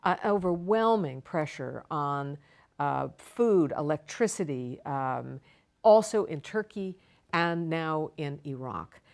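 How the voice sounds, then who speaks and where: Woman guest expert, low voice, calm measured explanatory delivery for a broadcast interview. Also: gravelly. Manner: measured, explanatory, emphatic repetition.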